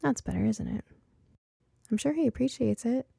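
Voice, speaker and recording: A woman's voice: on the high side, expressive, moderate pace, vocal fry, dark tone, slightly rough, dry recording, clean studio sound, full character voice. A woman voicing a curious, bookish and stubborn young daughter in an audiobook story, earnest and a little hesitant. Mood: pleased, hushed.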